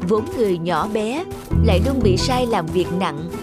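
A woman's voice: mid-range voice, expressive, normal speed, over loud music. A woman narrating a children's fairy tale in a scripted storytelling style.

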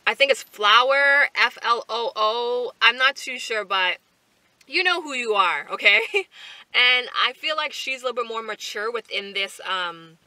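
Bright, chatty young woman vlogging. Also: fairly high pitch, unhurried, dry recording, low-quality recording. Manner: chatty, animated, lots of fillers and asides. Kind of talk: casual chat to camera. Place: parked car, indoors. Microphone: camera on the dashboard.

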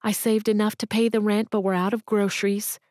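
A woman's voice: medium pitch, little pitch movement, brisk, darkish tone, no echo, clean studio sound, pitched above the performer's own voice, lightly acted. A woman voicing a desperate jobless mother who pleads through tears, her voice breaking. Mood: pleading. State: crying.

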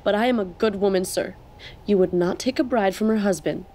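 A woman's voice: medium pitch, brisk, mellow, close to an everyday voice. An actress playing a pious, wary and defiant married woman in a period audio drama, formal and earnest. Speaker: actor.

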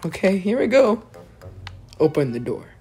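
Young woman, excitable, lively swinging pitch, repetitive improvised game roleplay chatter, faint music behind. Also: moderate pace, slightly rough. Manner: excitable, repeats phrases. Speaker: gaming video player. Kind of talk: improvised Minecraft roleplay chat.

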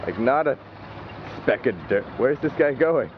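A man's voice: medium pitch, lively intonation, measured pace. A man with a Canadian accent chatting casually and slangily outdoors as he films on a handheld camera. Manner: laid-back, slangy, often ends with "eh". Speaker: amateur vlogger behind the camera. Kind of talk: casual chat. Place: outdoors in a parking lot. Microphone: handheld camera microphone. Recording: muffled camcorder sound, loud outdoor vehicle noise.

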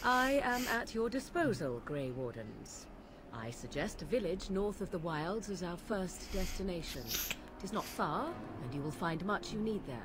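A woman's voice: lowish voice, big pitch swings, measured pace. A woman voicing a proud, aloof young witch in a fantasy game, speaking formally with arch sarcasm. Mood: coolly polite. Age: young adult. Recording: game audio over noisy outdoor ambience.

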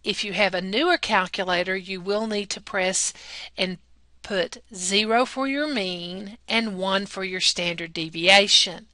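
Patient female math tutor, slow and deliberate, bright tone, dry close voice-over. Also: mid-range voice, no echo. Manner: patient, step-by-step teacherly delivery. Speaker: math lab technician narrating a lesson. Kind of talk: slow, deliberate classroom explanation over slides.

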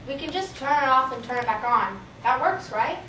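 A high-voiced, whiny power plant worker, played by a teenage girl in a school drama. The tone is impatient. The recording is distant, echoey and noisy. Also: animated, normal speed, sharp tone. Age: young teen. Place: small office. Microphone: far camera. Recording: echoey room, distant mic, clear noise.

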